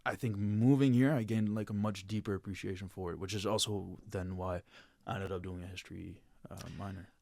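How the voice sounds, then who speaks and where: A man's voice: deep voice, fairly even intonation, a little fast, creaky, few pauses, dark tone, no echo, studio quality. A young man from Belgium hosting a podcast, asking questions in a casual, hesitant way with frequent fillers. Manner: casual, hesitant, with many likes and restarts. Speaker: podcast host.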